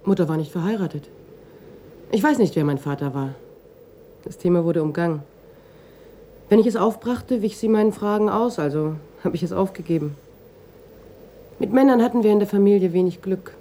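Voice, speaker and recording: An actress playing a reserved, bereaved daughter of almost thirty in a German radio crime drama, speaking in short, matter-of-fact sentences. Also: female voice, medium pitch, moderate pace, pauses often, mellow, natural voice. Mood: matter-of-fact, reflective. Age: young adult.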